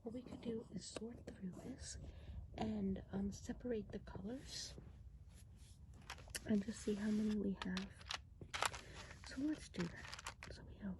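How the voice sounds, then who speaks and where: A woman doing a hushed, whispered ASMR role play as a friendly woodland witch, explaining things in a gentle, meandering way. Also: mid-range voice, steady tone, measured pace, pauses often, noisy background. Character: friendly Christmas witch of the woods. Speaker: ASMR performer.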